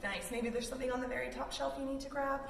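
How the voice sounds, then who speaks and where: A woman's voice: sits high, level tone, quick pace, vocal fry. A woman leading a children's yoga storytime in an encouraging, instructive way with simple phrasing. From Canada. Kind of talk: guided kids' yoga class with storytime. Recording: roomy, distant mic with faint noise.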